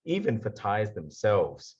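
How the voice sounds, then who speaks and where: A man with an Australian accent giving a lively conference talk over a video call. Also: on the low side, a little slow, husky, lo-fi sound. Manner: lively explainer, slipping into put-on accents for examples. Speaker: guest speaker.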